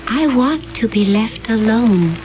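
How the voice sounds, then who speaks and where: An actress playing a calm, otherworldly alien woman in a 1970s radio drama. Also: fairly high pitch, slow, over loud music. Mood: serene. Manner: calm, gentle, detached. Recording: dull lo-fi radio broadcast.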